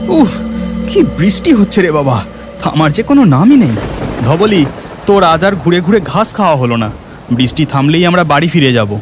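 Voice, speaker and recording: A man's voice: a little high, sing-song, moderate pace. A mild young village husband in a cartoon, acted by a man. His dutiful talk swings animatedly up and down, with faint music behind. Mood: grumbling. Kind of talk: cartoon voice acting.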